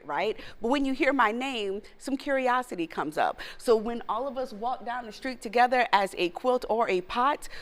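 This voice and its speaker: Emphatic woman from South Florida. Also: fairly high pitch. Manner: emphatic, declarative. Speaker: panel guest. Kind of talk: TV panel discussion.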